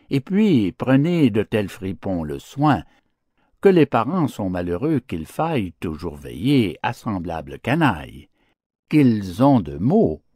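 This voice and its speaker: A man's voice: fairly low pitch, dark tone, breathy edge, no room sound, clean studio sound, heavily acted. A man playing a grave, self-righteous schoolmaster in a storybook fable, scolding in a pompous, declamatory way.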